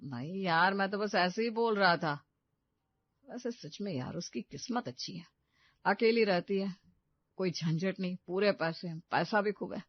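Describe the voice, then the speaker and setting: A woman's voice: medium pitch, moderate pace, no echo, muffled recording, close to an everyday voice. A woman voicing a casual husband in a storybook tale, offhand and placating as he defends himself. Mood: admiring, teasing. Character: casual, defensive husband admiring the neighbour's glamorous wife.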